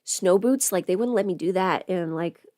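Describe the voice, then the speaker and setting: A woman's voice: on the low side, normal speed, darkish tone, dry recording, studio quality. A woman livestreaming in casual, rambling chat as she does her makeup, informal and mildly sweary. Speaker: livestream host.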